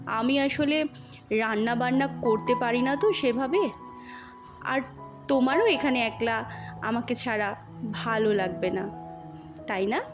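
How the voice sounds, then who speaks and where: A woman voicing a gentle, coaxing young husband who teases his new wife in an audio story. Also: a little high.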